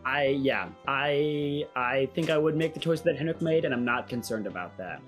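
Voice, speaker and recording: A young adult with an androgynous, mid-range voice chats casually. The manner is joking and self-aware, with words repeated for effect, and music plays softly behind. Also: mid-range voice, normal speed. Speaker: voice actor in a fan audio drama. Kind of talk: casual group chat. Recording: background music under the talk.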